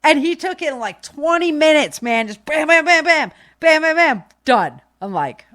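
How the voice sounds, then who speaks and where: A middle-aged American woman from Michigan chatting informally as a podcast host, telling stories in an animated, slangy way. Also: high voice, moderate pace, no echo, clean studio sound. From Michigan, United States. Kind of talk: casual conversational podcast chat.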